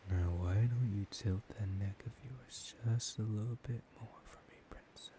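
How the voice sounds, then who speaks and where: Man acting very dark, low-voiced seductive vampire. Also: lightly acted. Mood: coaxing, predatory. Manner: slow, hushed, lapsing into breathy whispers, deliberate pauses. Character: dominant, menacing, seductive male vampire hypnotizing his prey. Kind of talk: soft-spoken ASMR roleplay. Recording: audible background hiss.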